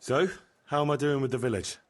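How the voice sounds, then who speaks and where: An actor playing a practical, firm and plain-spoken medieval bailiff in a video game. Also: male voice, mid-range voice, fairly even intonation, brisk, faint music behind, close to an everyday voice. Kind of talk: video game cutscene acting.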